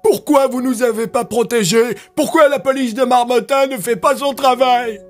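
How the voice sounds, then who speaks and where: A man's voice: very high-pitched, moderate pace, soft background music, voice pushed up. A man acting as a distraught, angry man at breaking point in an audio drama. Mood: furious, distraught.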